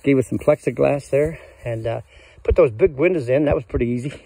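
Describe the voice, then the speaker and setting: A man's voice: medium pitch, brisk, creaky, mellow, slightly rough. Rambling older man, muffled folksy voice. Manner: rambling, folksy, with many fillers. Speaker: vlogger showing his cabin. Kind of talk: casual walkthrough chat. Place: outdoors in woods.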